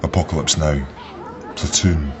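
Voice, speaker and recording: Deep-voiced, sardonic Glaswegian ex-officer. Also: male voice, fairly even intonation, measured pace. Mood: intense, sardonic. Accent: Glasgow Scottish. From Glasgow, Scotland. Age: 30s. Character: intense wounded young ex-army officer, sardonic. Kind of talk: naturalistic radio drama acting. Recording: muffled sound, busy crowd behind.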